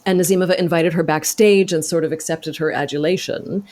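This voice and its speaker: A woman's voice: deep voice, steady tone, brisk, a little mellow. A woman speaking as an interview guest, articulate and careful with facts as she recounts research in a conversational way. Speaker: podcast guest, actor and author.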